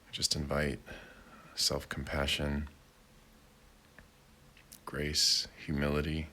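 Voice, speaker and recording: Man, meditation guide, flat intonation, bright crisp edge, gentle measured phrasing, long pauses. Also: rumbling low, a little hiss or hum. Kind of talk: guided meditation, slow and unhurried.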